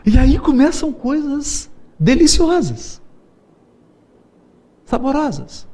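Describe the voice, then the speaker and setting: Animated, playful Brazilian lecturer, high voice. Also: male voice, slow. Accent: Brazilian Portuguese. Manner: playful, laughs often, acts out quoted voices. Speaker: spiritist lecturer. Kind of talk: seminar lecture with storytelling.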